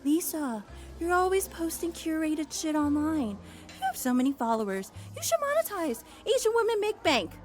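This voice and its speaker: A woman's voice: sits high, very animated, normal speed, soft background music. An actress playing a frazzled, broke young woman with an exasperated, sarcastic manner in a comedy audio drama. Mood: sardonic. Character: frazzled young woman, broke, blunt, fired from her job.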